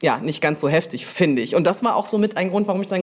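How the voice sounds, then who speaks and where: A woman's voice: fairly low pitch, animated, fast talker. A woman speaking as an interview guest, in an informal, colloquial and chatty way. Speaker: interview guest, publishing brand manager. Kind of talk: casual interview talk.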